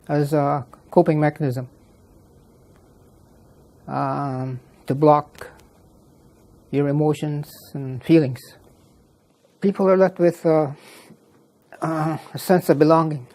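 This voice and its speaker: A man from Canada speaking slowly and deliberately in an interview, often pausing with fillers. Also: fairly high pitch, mellow. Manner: slow, deliberate, many fillers. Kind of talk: interview commentary.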